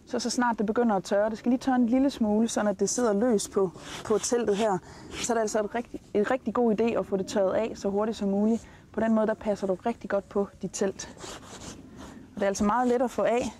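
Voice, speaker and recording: A Danish woman hosts a travel programme in a mellow, relaxed voice. She gives practical tips straight to camera. Outdoor ambience sits faintly behind her. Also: mid-range voice, a little hiss or hum. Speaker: travel show host. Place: outdoors at a campsite, in and beside a tent awning.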